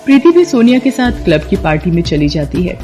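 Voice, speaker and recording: A woman's voice: lowish voice, big pitch swings, a little fast, mellow, soft background music. A woman narrator reading a scripted moral story.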